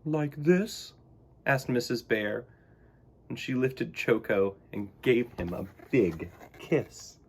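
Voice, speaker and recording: A man hosting a children's storytime, reading and talking in a theatrical, expressive way. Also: on the low side, takes it slowly, breathy edge. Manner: theatrical, exclamatory.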